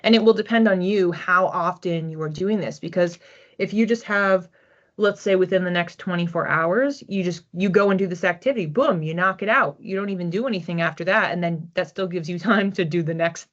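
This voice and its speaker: Woman, card reader, chatty and musing, lower pitch, muffled home-style audio. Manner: chatty, thinking aloud with restarts and soft laughs. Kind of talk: relaxed solo oracle reading. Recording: slightly dull lo-fi audio.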